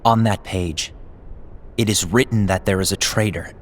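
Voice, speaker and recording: A man's voice: low voice, moderate pace. A man playing a confident, secretive apprentice in a dramatic video game cutscene, speaking earnestly. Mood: grave, determined. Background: music.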